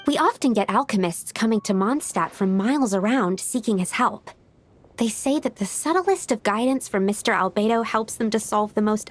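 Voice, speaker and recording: A woman voicing a shy, polite, bookish young alchemist in a video game, speaking softly and courteously with some hesitation. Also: mid-range voice, close to an everyday voice. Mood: earnest admiration. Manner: soft-spoken, hesitant, courteous. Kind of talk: video game character acting.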